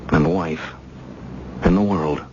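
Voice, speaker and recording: An actor playing an earnest, devoted husband in a radio drama, speaking hesitantly and sincerely. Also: male voice, deep voice, fairly even intonation, slow pace, mellow. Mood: earnest, tender. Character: earnest devoted husband, shaken after an ordeal. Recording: dull, faintly noisy old radio recording.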